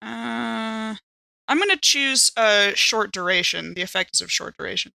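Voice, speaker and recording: A woman talks through her moves as a player in a tabletop game. Her voice is bright and the sound is very dry. She thinks aloud in a casual, stop-and-start way. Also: a little high, expressive, normal speed, gravelly, no echo, low-quality recording. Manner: self-interrupting, thinking aloud.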